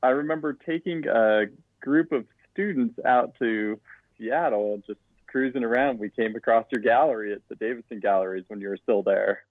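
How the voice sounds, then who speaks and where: A man's voice: medium pitch, normal speed, no room sound. Man on a tinny, dry phone-like line. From Utah, US. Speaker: education director guest. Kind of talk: casual interview chat.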